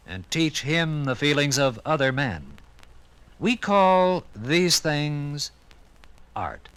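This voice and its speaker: A man's voice: fairly high pitch, a bit bright, dry recording. An American man narrating a 1950s radio documentary from a script in a solemn, declamatory, dramatic manner.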